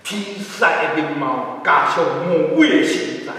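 A man's voice: fairly high pitch, a little slow. Older male pastor preaching in Taiwanese Hokkien. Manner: animated preaching with gestures, mixing in English terms. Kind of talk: sermon. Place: church sanctuary, at a pulpit. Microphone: pulpit mic, camera from a distance. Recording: echoey church hall, heard from far off.

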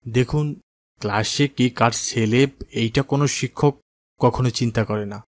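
A dignified, firm schoolteacher in a moral cartoon, acted by a man. He speaks instructively at a medium pitch. The recording is dry, with no room sound. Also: normal speed, no room sound, close to an everyday voice. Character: firm, principled maths teacher in a skullcap. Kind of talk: cartoon dialogue acting.

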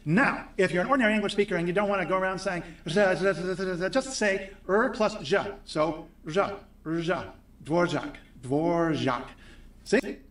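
A man presenting a video that explains pronunciation, playful and demonstrating sounds slowly. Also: high voice, a bit bright, reverberant, far from the mic. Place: room with bookshelves.

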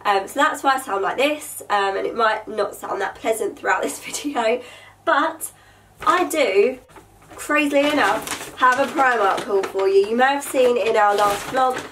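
A young woman with a fairly high voice, stuffed up and nasal from a bad cold. She chats in a bubbly, rambling way full of ums, recorded on a camera mic set a little way off in a bedroom. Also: unhurried, fairly bright tone, far from the mic, slight background noise. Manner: chatty, bubbly, lots of fillers. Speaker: vlogger. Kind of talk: casual haul chat to camera. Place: bedroom with vanity table, indoors.

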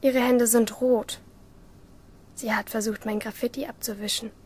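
A woman acting a resentful, obsessive teenage schoolgirl who narrates in a radio play, with a husky voice. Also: a little high, steady tone, a little slow, a little mellow. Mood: resentful, tense.

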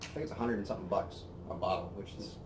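A young man hosting a whiskey review, chatting casually on an outdoor patio, relaxed and rambling with plenty of fillers. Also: fairly low pitch, rapid-fire, background noise. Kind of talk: casual tasting chat. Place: outdoor patio by a brick wall. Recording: roomy outdoor sound with faint ambience.

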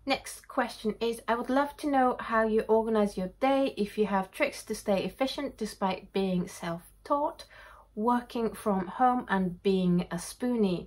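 A woman's voice: medium pitch, a little mellow. Chatty woman in her thirties, home studio. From Japan. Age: 30s. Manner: chatty and candid, reads questions aloud then answers. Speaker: watercolor YouTuber answering viewer questions. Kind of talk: casual Q&A to camera. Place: home art studio with shelves, indoors. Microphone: camera in front at desk distance.

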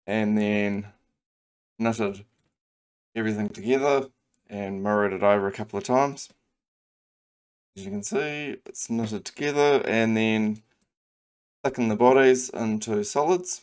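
A man with a New Zealand accent talking through a software modelling tutorial, thinking aloud with frequent ums and restarts. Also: lowish voice, measured pace, pauses often, clear, resonant tone. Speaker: CAD design tutor. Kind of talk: screen-recorded software tutorial.

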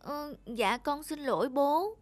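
A timid young boy in an animated fairy tale, voiced by a woman. He has a high voice and speaks politely and meekly, eager to please. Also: slow, no echo. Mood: dutiful. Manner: polite, meek.

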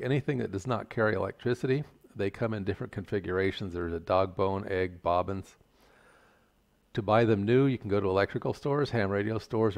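An older man giving a measured, plain-spoken, step-by-step tutorial explanation. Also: fairly low pitch, creaky. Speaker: instructor. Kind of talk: explanatory tutorial narration over slides.

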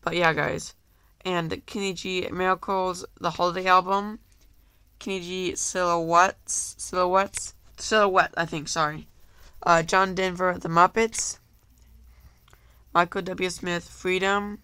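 A man's voice: high-pitched, little pitch movement, slow, bright, forceful tone, smooth, no echo. Rambling young man with a cold. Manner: rambling, filler-heavy, apologetic, keeps saying "guys". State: has a cold. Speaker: YouTuber. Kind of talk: casual video monologue listing items. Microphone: handheld camera.

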